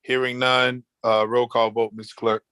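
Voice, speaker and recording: A man's voice: medium pitch, little pitch movement, moderate pace, fairly bright tone, clear, resonant tone. A man chairing a virtual board meeting, speaking in formal procedural phrases with a polite, somewhat hesitant delivery.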